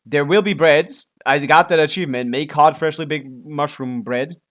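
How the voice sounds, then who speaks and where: A man's voice: on the high side, moderate pace, fairly bright tone. Casual male game streamer, muttering along. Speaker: live streamer. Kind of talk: casual gaming livestream chatter.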